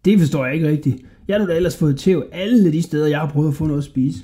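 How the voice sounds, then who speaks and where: A reader voicing a hungry, wary young man who talks earnestly and gets excited fast. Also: medium pitch, natural voice. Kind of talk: storybook reading.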